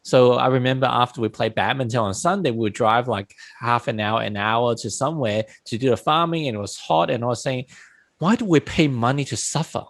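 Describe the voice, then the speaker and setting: A man's voice: medium pitch, brisk, vocal fry, fairly bright tone, no room sound, studio quality. A man from Hong Kong hosting an interview in a casual, conversational way, full of "like" and "um". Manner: casual, many "like" and "um" fillers. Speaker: host.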